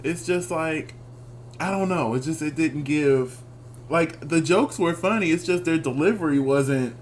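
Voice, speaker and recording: A man's voice: fairly high pitch, measured pace, a little hiss or hum. A man from Texas with a Southern accent, co-hosting a casual review chat in a laid-back, chuckling way. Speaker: podcast co-host.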